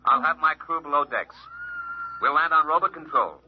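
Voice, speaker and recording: A cruiser captain, fairly high-voiced, formal and a little awkward. He speaks over a radio link in 1950s drama style, muffled with faint noise. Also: normal speed. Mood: businesslike, embarrassed. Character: male cruiser captain, businesslike but awkwardly taken aback. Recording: muffled, noisy vintage radio recording.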